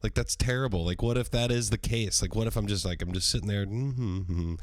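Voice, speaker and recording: A hoarse man hosting a solo podcast livestream, talking in a rambling, profane way full of ums. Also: lowish voice, a little fast, dry recording, clean studio sound. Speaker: podcast host.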